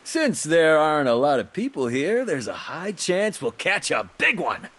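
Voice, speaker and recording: A man voicing an excitable, goofy young vacationer in an anime mobile game, with exaggerated comic delivery. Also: a little high, moderate pace, heavy background noise. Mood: eager. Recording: music behind the voice.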